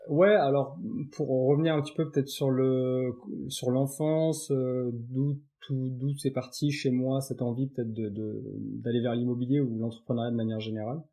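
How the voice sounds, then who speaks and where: Un homme invité d'un podcast, qui raconte son parcours sur un ton de conversation détendue, avec beaucoup d'hésitations et de reprises. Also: male voice, mid-range voice, level tone, moderate pace, warm tone. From Paris suburbs, France. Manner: chatty, many fillers and restarts. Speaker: podcast guest. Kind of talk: casual interview chat.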